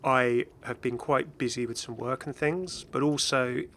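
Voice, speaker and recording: A man's voice: medium pitch, fairly even intonation, measured pace, fairly bright tone. A man talking casually and unscripted as a gaming YouTuber, rambling chattily as he goes. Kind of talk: casual unscripted video commentary and channel update.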